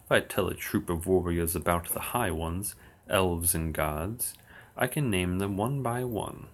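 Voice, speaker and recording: A man reciting old verse slowly and steadily on his livestream, with dry asides. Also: low voice. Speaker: occult streamer. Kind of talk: reading verse aloud on a livestream.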